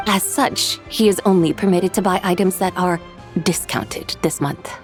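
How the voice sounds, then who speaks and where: A woman's voice: fairly low pitch, moderate pace, faint music behind, lightly acted. A woman voicing a haughty, eccentric immortal spirit in a video game, speaking in an imperious, formal manner. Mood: musing. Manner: imperious, formal, archaic phrasing. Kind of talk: video game cutscene dub acting.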